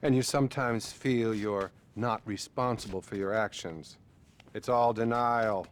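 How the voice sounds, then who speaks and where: An actor playing a patronising, bluntly judgmental male therapist in a video game, measured and condescending. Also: on the low side, slight background noise, natural voice. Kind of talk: video game cutscene acting.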